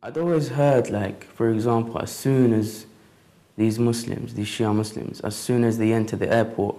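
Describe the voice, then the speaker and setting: A man's voice: medium pitch, fairly even intonation, normal speed, mellow. A young man of Iraqi and Lebanese heritage, interviewed in a studio, earnestly recalling what he had heard. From Iraq and Lebanon. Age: young adult. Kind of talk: casual recollection. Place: dark studio.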